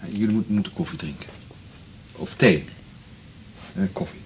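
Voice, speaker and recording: Low voiced boyfriend, quiet helpful type, hesitant, 80s radio play, bit noisy. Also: male voice, moderate pace. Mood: subdued, practical. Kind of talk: 1980s Dutch radio drama acting. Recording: noisy 1980s radio drama recording.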